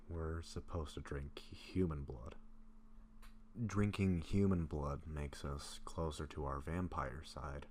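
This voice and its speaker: Man playing a very deep-voiced, gentle vampire. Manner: soft, halting, confiding, sometimes whispering. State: sick, occasional coughing. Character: gentle sick male vampire, affectionate, self-doubting best friend. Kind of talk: intimate roleplay audio drama. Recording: faint steady background noise.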